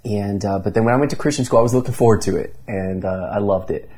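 Man, 30s, from Indiana, pastor, earnest interview talk, frequent restarts, dark tone. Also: lowish voice, fast talker, breathy edge. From Indiana, USA. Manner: earnest, many restarts and fillers. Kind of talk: conversational interview storytelling.

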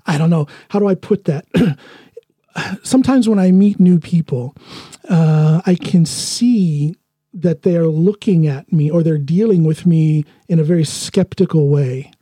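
Husky dark-voiced American man. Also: on the high side, unhurried, no room sound, studio quality. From United States. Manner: laughs often, blunt and joking. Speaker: podcast host. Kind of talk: casual podcast conversation.

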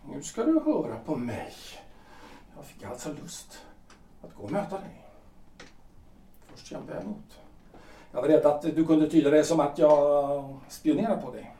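An actor playing an anguished, brooding husband in his forties in a period audio drama, halting and repeating his phrases with theatrical emotion. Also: on the high side, lively intonation, dark tone, slight background noise. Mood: insistent, yearning. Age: 40s. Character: anguished middle-aged husband, brooding, insistent, self-doubting. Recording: roomy, distant from microphone.

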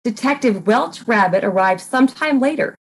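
A woman narrating a comic murder mystery in a deliberate, deadpan dramatic reading. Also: on the high side, slight background noise.

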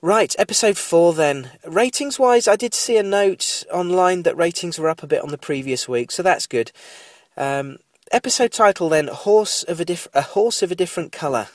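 A man's voice: high voice, expressive, moderate pace, no room sound. A man in his thirties with a cold, sounding nasal and bunged up as he hosts a casual, rambling TV recap podcast. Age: 30s. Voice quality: nasal, congested. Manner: rambling, digressive, apologetic asides. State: has a cold. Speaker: podcast host.

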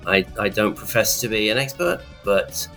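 A man's voice: fairly low pitch, animated, normal speed, pushed and bright, soft background music. A man speaking as a podcast guest, with dry, self-deprecating humor and hesitant fillers. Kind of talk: casual podcast chat.

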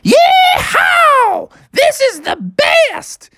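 An adventurous little bear cub in a storybook. He is squeaky, exuberant and excitable, pitched far up with big swoops. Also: extremely slow, no room sound, shouting, studio quality, full character voice. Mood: thrilled. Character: Bubby, wildly excited adventurous young boy bear.

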